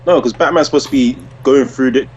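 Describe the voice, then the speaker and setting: A man's voice: mid-range voice, brisk, dull audio, slight background noise. A man co-hosting a podcast in casual, slangy chat with some swearing. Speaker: podcast co-host. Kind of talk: casual chat about comic book movies.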